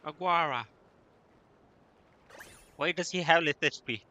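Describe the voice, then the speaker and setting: A man's voice: a little high, unhurried, sharp tone. A man livestreaming a game with casual, off-the-cuff commentary, with slight noise in the recording. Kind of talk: casual playthrough commentary, muttering and echoing dialogue.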